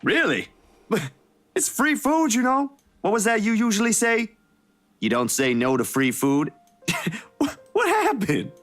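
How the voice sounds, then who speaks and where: A woman's voice: on the high side, big pitch swings, measured pace, long pauses. A woman acts out a young man from a visual novel, caring but easily exasperated. His delivery swoops widely and lands hard on words, with faint music behind him. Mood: teasing. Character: young man, exasperated, caring, wry narrator-hero Zach. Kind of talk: visual novel dialogue read aloud.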